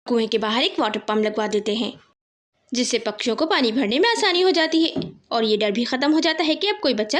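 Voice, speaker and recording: A woman with a high-pitched voice narrates a children's tale. She works as the storyteller, reading from a script.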